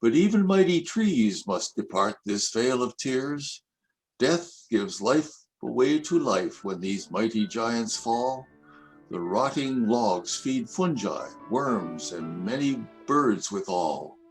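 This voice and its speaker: An older man in his seventies reading his own poems aloud, with measured, expressive recitation. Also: mid-range voice, soft background music, rough recording. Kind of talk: poetry reading at an event.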